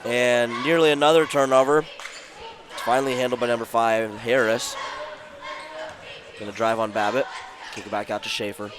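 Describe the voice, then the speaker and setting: A man doing play-by-play at a basketball game, his voice sore and worn from illness, calling the action in short brisk bursts. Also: mid-range voice, steady tone. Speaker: play-by-play announcer. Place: school gym courtside. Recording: loud crowd and gym noise behind.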